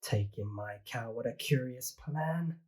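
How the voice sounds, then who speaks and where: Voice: medium pitch, a little slow, darkish tone, natural voice. A reader voicing a fretful little old woman who keeps begging for help with her crowded house, in a pleading, sing-song storybook manner.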